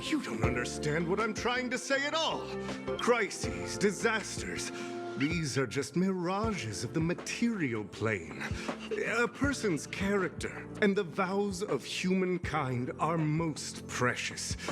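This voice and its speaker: A man's voice: sits high, sing-song, slow, heavily acted. A man voicing a vain, theatrical male professor in a video game, with a pompous, flowery delivery. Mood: lofty, impassioned. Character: vain, melodramatic male professor, self-styled charming idol. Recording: game music behind the voice.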